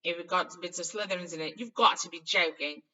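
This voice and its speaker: A woman's voice: lowish voice, fairly quick, pushed and bright, muffled recording. A woman voicing a brash, loyal schoolboy friend from a storybook, speaking emphatically with teasing indignation. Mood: teasing, pleased. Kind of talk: storybook character reading.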